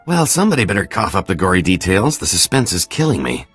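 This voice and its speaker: An actor playing a sarcastic, impatient crew member with a lively, sardonic manner in a video game. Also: male voice, low voice, quick pace, slightly rough, faint music behind, exaggerated voice. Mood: teasing, impatient. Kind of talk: video game cutscene acting.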